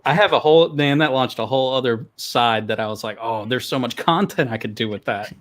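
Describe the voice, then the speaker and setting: A man's voice: mid-range voice, normal speed, a bit bright. A man in his early thirties chatting as a guest, playful and laughing often. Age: early 30s. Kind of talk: casual group video chat.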